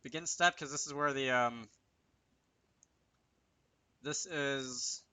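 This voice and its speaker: A man's voice: medium pitch, slow pace, bright, forceful tone, no echo. A man giving casual, unscripted commentary over a screen recording, hesitant and thinking aloud as he works. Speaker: game developer hosting a tutorial series.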